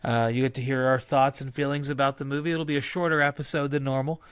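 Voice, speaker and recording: A man's voice: medium pitch, flat delivery, fast, smooth, no room sound. Laid-back Texan man hosting a podcast. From Texas. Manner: laid-back, joking, sprinkles in y'all. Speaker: podcast host. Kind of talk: casual chat.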